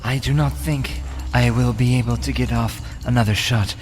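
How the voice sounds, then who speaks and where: A man voicing a shaken, earnest elf archer in a fantasy audio drama, rasping, with halting, formal phrasing. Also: mid-range voice, steady tone, soft background music, pitched above the performer's own voice, natural voice. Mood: tense, careful.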